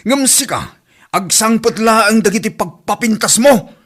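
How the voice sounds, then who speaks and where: Exasperated Filipino husband, fairly high-pitched. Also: male voice, animated, measured pace, a bit bright, full character voice. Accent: Ilocano Filipino. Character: exasperated, grumbling working husband. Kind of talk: Ilocano radio comedy drama acting.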